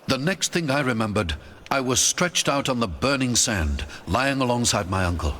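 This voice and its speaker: A man's voice: medium pitch, lively intonation, moderate pace, fairly bright tone. A man narrating an adventure story in a dramatic, theatrical scripted style.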